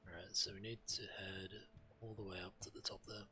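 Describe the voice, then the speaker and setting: A man's voice: low voice, flat delivery, fairly quick, sharp tone, soft background music. A man narrating and commenting on his gameplay as a streamer. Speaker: game streamer. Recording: background game noise.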